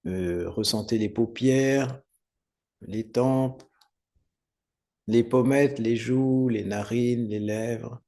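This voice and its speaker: A man teaching guided meditation, giving unhurried, soothing step-by-step instructions with pauses. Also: medium pitch, gravelly, dark tone. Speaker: yoga nidra meditation teacher.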